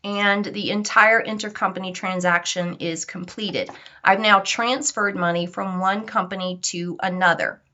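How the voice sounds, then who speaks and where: A woman instructor walking through software step by step in an informal, conversational and instructive way. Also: medium pitch, fairly even intonation, unhurried, lo-fi sound.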